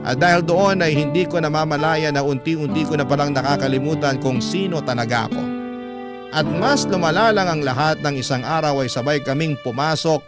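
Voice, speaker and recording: A man with a Filipino accent reading a first-person love story in a measured, reflective radio narration style. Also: a little high. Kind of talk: emotional scripted narration of a letter. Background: guitar music.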